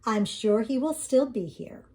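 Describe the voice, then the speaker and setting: A woman voicing a gentle but firm, soothing mother in a children's storybook reading. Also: a little high, lively intonation, measured pace. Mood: gentle, reassuring. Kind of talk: children's storybook read-aloud.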